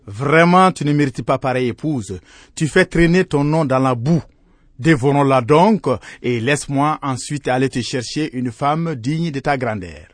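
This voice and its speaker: A man voicing a scheming female hyena in a folktale, gossiping and flattering in an exclamatory, insinuating manner. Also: medium pitch, moderate pace, no room sound, natural voice. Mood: scornful, urging.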